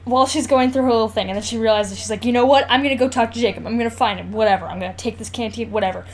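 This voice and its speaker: A woman's voice: on the high side, very fast, slight background noise. A young woman co-hosting a podcast, casually picking apart a book with emphatic mock exasperation and some swearing. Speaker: podcast co-host. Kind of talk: casual comedic book discussion.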